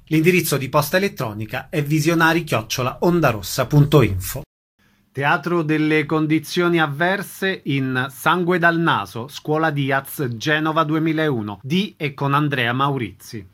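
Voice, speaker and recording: A man's voice: a little high, normal speed, a bit bright. A man reading a scripted announcement as the presenter of a radio show.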